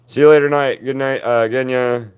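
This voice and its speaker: A man with a deep voice, chatting casually with viewers while he paints on a livestream. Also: steady tone, normal speed, smooth. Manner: rambling, answers viewers' chat by name. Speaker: artist hosting a livestream.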